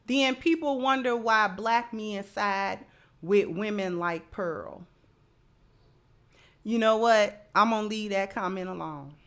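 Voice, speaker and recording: A woman's voice: medium pitch, takes it slowly, fairly bright tone. A woman hosting livestream social commentary, speaking emphatically and often repeating phrases to drive a point home.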